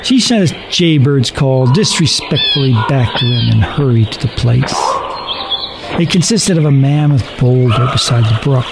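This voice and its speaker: A man reading a horror story as a dramatic narrator, deliberate and suspenseful. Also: on the high side, noisy background. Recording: narration over background music.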